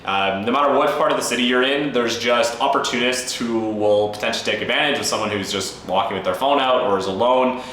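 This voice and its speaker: A man's voice: mid-range voice, fairly quick, hardly any pauses, fairly bright tone. A young Canadian man talking casually and frankly to camera as a travel vlogger, with lots of fillers. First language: English. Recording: very echoey room with faint ambient noise.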